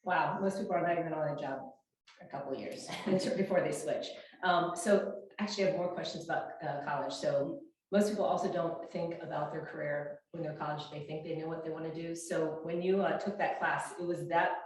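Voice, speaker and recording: Fifties lady hosting a chat, kinda low voice, restarts a lot, super echoey room, little clip mic. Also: fairly even intonation, fairly quick. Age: 50s. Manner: chatty, frequent restarts and fillers. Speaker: fitness coach and interview host. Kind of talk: casual interview. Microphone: small clip-on mic shared between speakers.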